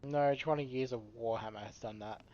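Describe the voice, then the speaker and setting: A man's voice: medium pitch, brisk, a little mellow. A man hosting a let's play, commenting casually and reading dialogue options aloud. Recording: slightly dull mic with faint noise.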